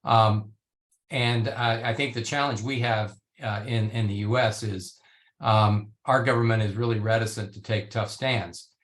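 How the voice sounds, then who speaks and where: Man panelist, low voice, grew up in Belgium and Italy; measured, filler-heavy call speech. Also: fairly even intonation, fairly bright tone. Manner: measured, restarting often with fillers. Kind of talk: virtual panel discussion. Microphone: video call.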